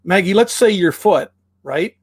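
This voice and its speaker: A man from Canada explaining tree care in a casual chat, relaxed and full of fillers and restarts, with playful comparisons. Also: high-pitched, measured pace, slightly rough, dry recording, studio quality. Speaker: tree care expert guest.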